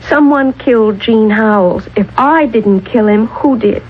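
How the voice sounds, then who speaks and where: A woman's voice: a little high, a little slow, husky. A woman playing an earnest, precise lady professor in a 1970s radio drama. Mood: pleading, insistent. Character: earnest, overwrought lady agriculture professor accused of murder. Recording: muffled, band-limited old radio broadcast.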